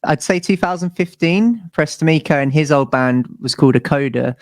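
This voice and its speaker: A man's voice: on the high side, fairly quick, dry recording, clean studio sound. A man with a British accent in a casual interview, telling stories as a musician in a rambling, informal way. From United Kingdom.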